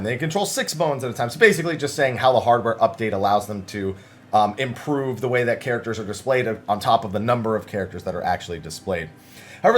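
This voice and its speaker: Man giving casual gaming news commentary. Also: medium pitch. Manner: chatty, conversational asides. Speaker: host of a gaming news video channel.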